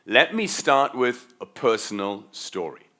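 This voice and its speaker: A man's voice: medium pitch, big pitch swings, unhurried, pushed and bright. A middle-aged man from Germany who left his German accent behind as a teenager, giving a motivational keynote talk on leadership and stressing key words with repetition. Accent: faint American-schooled German. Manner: emphatic, stretches key words, repeats for stress. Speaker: keynote speaker.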